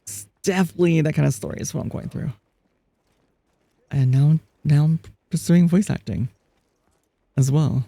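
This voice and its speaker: A chatty streamer talking casually through a live game, often breaking into laughter. The voice is gravelly and mellow, and it is caught dry and close with no room sound. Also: medium pitch, normal speed, pauses often, dry recording. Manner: chatty, laughs often, reads chat aloud; frequent restarts and fillers. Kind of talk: casual live-stream chat while gaming.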